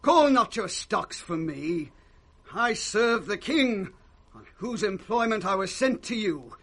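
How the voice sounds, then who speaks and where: An actor playing a blunt, quarrelsome old servant in 1960s British radio Shakespeare, scornful and declamatory. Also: male voice, high-pitched, very animated, slow pace, fairly bright tone, heavily acted. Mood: indignant.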